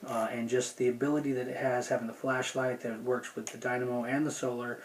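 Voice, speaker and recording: Flat-toned man reviewing gear, noisy recording. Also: medium pitch, fairly quick. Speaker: gear review video host. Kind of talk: casual unscripted product review.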